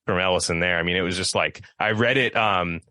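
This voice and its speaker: Thirties male podcast host, fast and energetic, bright lowish voice, dry close sound. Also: no echo. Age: 30s. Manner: animated, quotes himself in lively voices. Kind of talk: casual chat about books.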